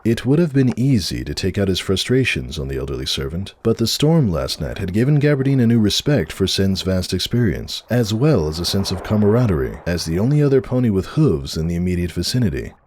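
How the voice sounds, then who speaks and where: Deep-voiced, expressive male storyteller. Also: brisk, hardly any pauses, slight background noise. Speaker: narrator. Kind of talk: dramatic story narration.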